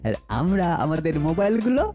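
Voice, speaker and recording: Woman voicing a bossy, animated older boy. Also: fairly low pitch, a little fast, faint music behind, lightly acted. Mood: assertive. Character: confident older boy leading young ghost hunters. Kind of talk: cartoon dialogue.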